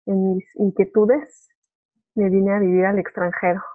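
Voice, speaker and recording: A woman's voice: mid-range voice, flat delivery, measured pace. Young Mexican woman on a phone-like line. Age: young adult. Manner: chatty, informal, self-correcting. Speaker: podcast guest. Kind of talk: casual chat, personal story. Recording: narrow phone-like remote call audio.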